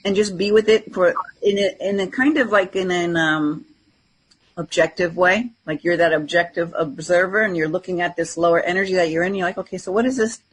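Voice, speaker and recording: A woman's voice: lowish voice, a little fast, breathy edge, low-quality recording. A woman co-hosting a spiritual talk show, chatting informally and reflectively, often voicing inner self-talk. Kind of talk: casual unscripted conversation about spirituality.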